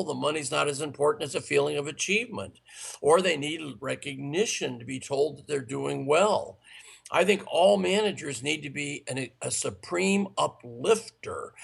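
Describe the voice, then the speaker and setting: A man whose voice is heavily gravelly and husky, worn raspy from days of talking. He sits a little higher than most men and lays out advice point by point in a steady, earnest way. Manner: earnest, instructive, listing points. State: raspy, tired voice. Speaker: sales trainer guest. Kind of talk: interview answers, advice.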